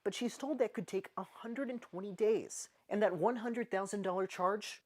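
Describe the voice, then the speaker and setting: A woman reporter reading a scripted news voice-over in a measured, emphatic way. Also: medium pitch, darkish tone.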